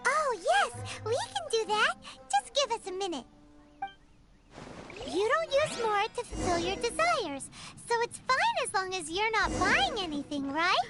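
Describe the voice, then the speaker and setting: Game fairy sidekick, tiny and chirpy, very high voice, over heavy music. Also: female voice, measured pace, full character voice. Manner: bubbly, excitable, talks of herself in third person. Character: tiny floating female fairy companion, chirpy. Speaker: game companion character. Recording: game audio under loud music.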